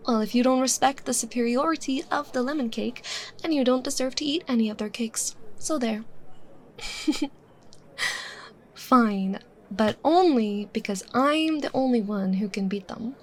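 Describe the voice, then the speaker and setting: A woman playing a bubbly, teasing young woman chatting casually with her secret crush in a roleplay, expressive and playful. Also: fairly high pitch, a little slow, slight background noise, natural voice. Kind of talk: roleplay ASMR, acted casual chat.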